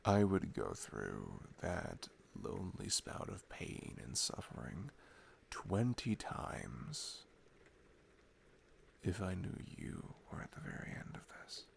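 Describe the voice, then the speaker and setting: A man playing an affectionate, reflective boyfriend in an intimate ASMR roleplay, speaking softly and tenderly with hesitant pauses. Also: deep voice, wildly swooping pitch, creaky. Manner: soft, hesitant, tender, pausing between phrases.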